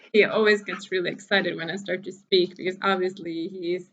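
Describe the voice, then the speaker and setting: A woman's voice: fairly low pitch, steady tone, normal speed, fairly bright tone, dull audio, slight background noise. A woman from Finland, a native Finnish speaker, leading a spiritual workshop in casual, chatty English with frequent laughs and filler words, recorded at home. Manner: chatty, laughs often, many fillers and restarts.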